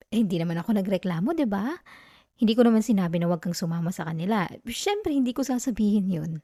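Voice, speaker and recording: A woman's voice: fairly high pitch, dark tone, dry recording, studio quality. Isang babaeng Pilipina ang gumaganap bilang batang girlfriend na estudyante sa ASMR roleplay, clingy, possessive at malambing na nanunukso at nakikiusap, may punto ng Pilipino. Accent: Filipino. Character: clingy, possessive young student girlfriend, sweetly manipulative. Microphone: close microphone, dry sound.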